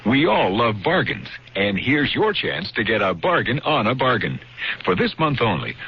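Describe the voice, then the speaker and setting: Husky American male commercial announcer. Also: mid-range voice. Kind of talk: scripted sales pitch. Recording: dull lo-fi radio recording.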